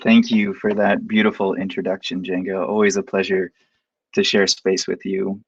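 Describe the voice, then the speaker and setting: Measured low-voiced man, dull dry audio. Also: flat delivery, breathy edge, no room sound. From Montana, United States. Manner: measured, heartfelt, reading from a script. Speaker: professor. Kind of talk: prepared introduction read aloud. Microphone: video call.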